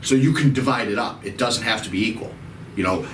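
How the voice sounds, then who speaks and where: A man's voice: medium pitch, a little fast, a bit bright, a little hiss or hum. A man with a Chicago-area American accent, hosting a casual talk and patiently explaining with many repeats and restarts. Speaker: title company host. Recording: echoey room, mic at a distance.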